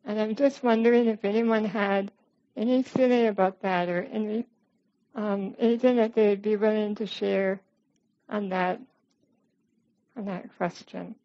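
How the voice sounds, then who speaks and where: A woman with a quiet voice, a bit worn tonight, giving an informal dharma talk to a group and laughing softly. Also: medium pitch, steady tone, unhurried, long pauses, lo-fi sound. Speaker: guest meditation teacher.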